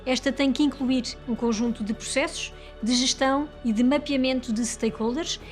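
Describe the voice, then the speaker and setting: A woman narrates a corporate report from a script in a European Portuguese accent. Her voice sits somewhat high, with music playing behind it. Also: steady tone, moderate pace.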